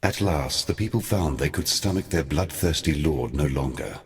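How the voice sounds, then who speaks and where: An actor playing an ancient, brooding god and grave mentor in a video game, with solemn, eloquent, theatrical delivery. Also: male voice, a bass voice, lively intonation, moderate pace. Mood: grim. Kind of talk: theatrical scripted game dialogue.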